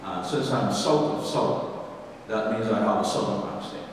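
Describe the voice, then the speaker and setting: A man's voice: lowish voice, moderate pace, slight background noise. A man from Guyana with a Guyanese accent, giving a folksy, conversational history lecture to an audience and asking them questions. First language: English. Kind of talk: public history presentation. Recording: distant, reverberant hall recording.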